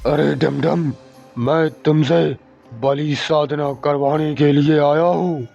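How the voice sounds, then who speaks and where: A man voicing a crazed flesh-eating male ghost in a horror cartoon, talking in a slow, gravelly, menacing drawl. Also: a little high, steady tone, dark tone, soft background music. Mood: pleading. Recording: muffled lo-fi recording.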